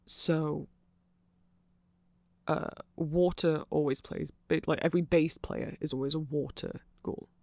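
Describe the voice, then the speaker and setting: A man rambles through an ASMR explainer in a heavily fried, gravelly voice that sits a little high for a male speaker. He is nerdy and discursive, doubling back to correct himself and checking in as he goes. The sound is very dry and close. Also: steady tone, a little slow, a little mellow, no echo. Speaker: ASMR creator.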